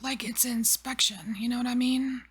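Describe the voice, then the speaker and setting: Breathy whispering woman reading tarot. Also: fairly high pitch, fairly even intonation, normal speed. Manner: soft whispering, rambling, lots of fillers. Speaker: tarot reader.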